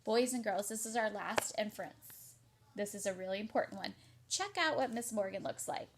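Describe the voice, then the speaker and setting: A woman's voice: mid-range voice, moderate pace, a little hiss or hum. A woman teacher giving an interactive read-aloud for children, explaining expressively and prompting listeners as she goes.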